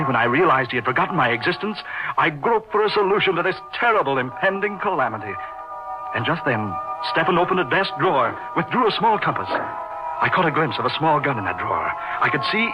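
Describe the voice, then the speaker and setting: An anguished man delivers a melodramatic radio horror confession with dramatic pauses. His fairly high voice rises and falls widely. The recording is dull and lo-fi, with loud music. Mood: desperate, anguished. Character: anguished male narrator confessing a desperate killing. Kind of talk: 1940s radio horror monologue.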